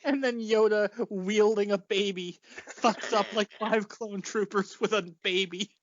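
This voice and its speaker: A woman's voice: medium pitch, steady tone, unhurried, no room sound, dull audio. A woman co-hosting a comedy podcast, bantering casually in an exasperated, incredulous way with some swearing. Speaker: podcast co-host.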